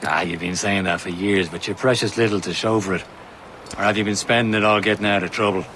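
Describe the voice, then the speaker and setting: Man playing a low-voiced, steady Irish comrade. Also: fast talker, slight background noise, close to an everyday voice, poor audio. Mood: teasing. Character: steady, teasing young Irish assassin, loyal senior comrade. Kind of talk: video game cutscene acting.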